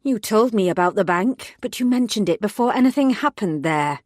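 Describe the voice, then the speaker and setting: A woman voicing a stern female police superior who talks in curt, sharp demands. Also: medium pitch, animated, moderate pace, no room sound, studio quality, close to an everyday voice. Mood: angry. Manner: snapping, sharp.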